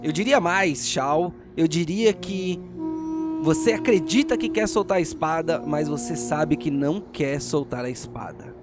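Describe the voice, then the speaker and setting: A man with a Brazilian accent hosting and narrating a tabletop RPG audio drama as game master, in a theatrical, deliberate storyteller's manner. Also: on the high side, animated. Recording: music playing behind the voice. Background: music.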